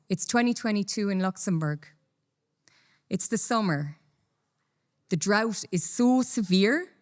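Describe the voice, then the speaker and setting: A woman from Ireland with an Irish accent giving a lively, conversational conference talk. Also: mid-range voice. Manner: lively, self-deprecating, conversational. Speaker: conference speaker. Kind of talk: conference presentation. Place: conference room.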